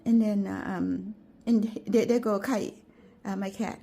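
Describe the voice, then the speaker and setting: A woman with a Thai accent, a native Thai speaker, giving an unscripted walking tour of her garden in a gentle, halting way, with many fillers and restarts. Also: mid-range voice, fairly even intonation, vocal fry. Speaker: gardening vlogger.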